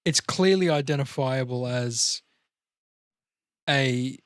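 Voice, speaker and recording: A man's mid-pitched voice, recorded close and dry. He talks as a podcast co-host, chatting loosely in a blunt, joking way and swearing now and then. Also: slow pace, clear, resonant tone, no room sound. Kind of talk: casual chat.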